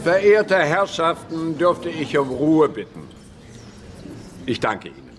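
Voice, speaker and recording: An actor playing a pompous, stern male institute director in a radio drama, speaking formally and deliberately. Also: a little high, big pitch swings, noisy background. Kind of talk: theatrical German radio drama acting.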